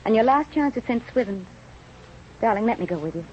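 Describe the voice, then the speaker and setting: Actress as devoted wife, muffled vintage radio. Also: female voice, fairly high pitch, lively intonation, fairly quick, darkish tone, natural voice. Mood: pleading. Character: devoted, brave, self-effacing wife assisting her doctor husband. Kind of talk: old-time American radio drama acting. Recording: muffled, faintly noisy vintage radio recording.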